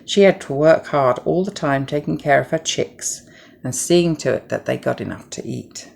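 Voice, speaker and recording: A middle-aged woman narrating a children's story from a script in a measured, gentle way. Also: low-pitched, vocal fry.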